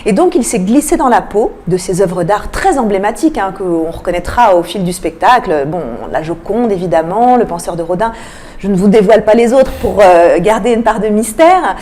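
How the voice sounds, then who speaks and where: Woman, arts programmer as radio guest. Soft-edged mellow tone, explanatory, hesitant delivery. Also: mid-range voice, expressive, moderate pace. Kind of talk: radio interview, presenting a cultural programme. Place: radio interview.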